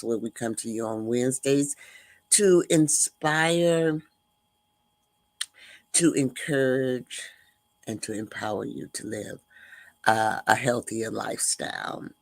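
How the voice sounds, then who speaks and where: A woman's voice: very deep voice, no room sound. An older woman hosting a livestream podcast, talking casually with a nasal voice and many fillers. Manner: slow, halting, many fillers. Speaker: host.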